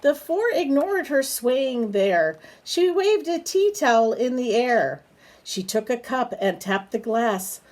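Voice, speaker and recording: Female storyteller for young children, slightly high pitch, very measured pace, coaxing question-asking manner. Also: lively intonation, a little mellow. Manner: unhurried, asks simple coaxing questions. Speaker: storyteller reading a picture book aloud. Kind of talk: children's read-aloud with chatty asides to a bird.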